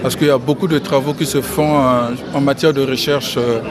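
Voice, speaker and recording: A man from the Republic of the Congo giving an outdoor press interview, speaking in a measured way and repeating key phrases. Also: mid-range voice, steady tone. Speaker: interviewee, financial engineering expert. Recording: crowd noise behind.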